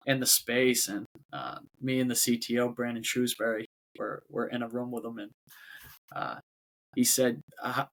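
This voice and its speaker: A man's voice: mid-range voice, fairly bright tone, rough recording. A man with a Boston accent, a company head talking as a guest in a casual interview, often repeating words before going on. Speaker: robotics company CEO, guest.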